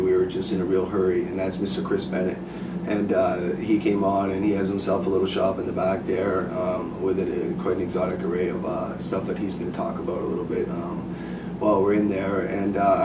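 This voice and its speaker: A man in his thirties hosting a casual vlog, rambling with many fillers and restarts. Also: low-pitched. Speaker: video host. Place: shop interior and home office. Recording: echoey room, distant mic, loud background noise.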